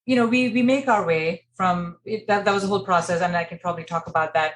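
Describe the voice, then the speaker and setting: A woman's voice: fairly low pitch, fast, slight background noise. A woman in her thirties from Kuwait, telling her life story in a podcast interview with many fillers and restarts. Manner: conversational, many "you know" fillers and restarts. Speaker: interior designer, guest.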